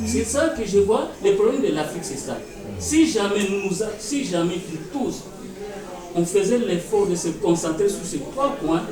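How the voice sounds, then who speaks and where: A man from Kinshasa speaking emphatically and indignantly in a debate, repeating his points. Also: high-pitched. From Congo (Kinshasa). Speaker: audience member. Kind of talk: impassioned debate intervention. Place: conference hall with audience. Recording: echoey hall, distant mic, audience.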